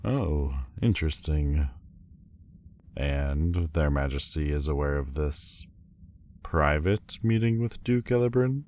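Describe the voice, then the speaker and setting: A man playing a proud demon lord in a roleplay audio drama, speaking formally and haltingly, guarded but vulnerable underneath. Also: very deep voice, expressive, a little mellow, exaggerated voice. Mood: hesitant, guarded. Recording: faint background noise and ambience. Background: faint ambient sound effects.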